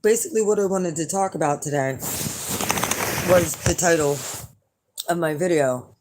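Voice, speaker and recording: A hoarse middle-aged woman chatting casually to her viewers as an astrology reader, rambling and blunt with lots of fillers. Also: on the low side, moderate pace, background noise. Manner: rambling and blunt, with frequent fillers and some swearing. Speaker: astrology reader and channel host.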